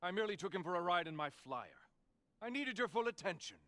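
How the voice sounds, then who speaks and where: Smug, animated, suave villain. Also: male voice, high voice, quick pace, no room sound. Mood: smug amused. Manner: smug, theatrical, condescending. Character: suave arrogant male villain, wealthy inventor.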